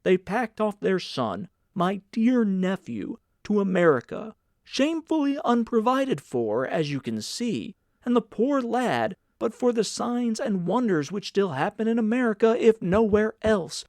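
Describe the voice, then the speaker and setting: A man's voice: high voice, very animated, unhurried, darkish tone, no room sound, clean studio sound, pitched above the performer's own voice, full character voice. A man voicing a pompous older senator in an audiobook, speaking in an emphatic, declamatory, oratorical way. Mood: indignant, declamatory. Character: pompous, theatrical senator uncle, older man, grandly indignant. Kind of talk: dramatic audiobook character reading.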